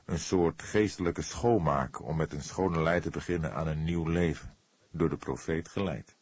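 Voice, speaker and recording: A weary old police detective, voiced by a male narrator for a crime audiobook. His voice is low and husky, and he speaks deliberately and thoughtfully with a dry, sarcastic undertone. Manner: slow, measured, deliberate, soft-spoken.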